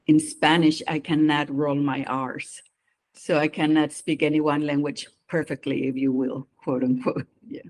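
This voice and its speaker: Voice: mid-range voice, level tone, moderate pace. A psychologist guest with a mixed accent of their own, earnestly explaining their work and speaking openly about personal experience. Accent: mixed non-native English accent. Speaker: psychologist guest who does immigration evaluations. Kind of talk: interview conversation.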